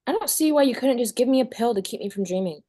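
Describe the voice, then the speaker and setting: A woman's voice: a little high, expressive, fast talker, warm tone. A woman playing a haggard, haunted, stubborn teenage girl in a horror script table read. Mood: uneasy, defiant.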